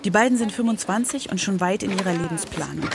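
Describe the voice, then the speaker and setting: A woman's voice: medium pitch, fast, gravelly, very noisy. A woman reporter narrating a scripted first-person account.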